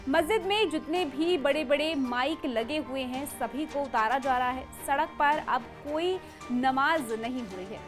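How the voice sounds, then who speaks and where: Lady doing a news report, really high voice, dramatic and punchy, bit of music behind. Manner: emphatic, dramatic delivery with rhetorical questions. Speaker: news presenter. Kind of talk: scripted news narration.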